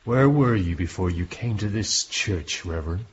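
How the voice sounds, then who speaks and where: Terse american stranger, low voice, guarded, radio drama, slightly muffled. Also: male voice, expressive, moderate pace. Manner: terse, reserved. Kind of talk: restrained radio drama acting.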